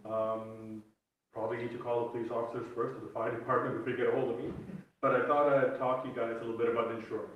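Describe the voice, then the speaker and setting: A middle-aged man with a medium-pitched voice, heard dull and distant in a heavily reverberant room. He speaks informally as an insurance broker, with a jokey, self-deprecating touch. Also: level tone, quick pace. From born in Sri Lanka, raised in Africa. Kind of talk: informal public talk. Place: public meeting room. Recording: muffled, very echoey distant room mic.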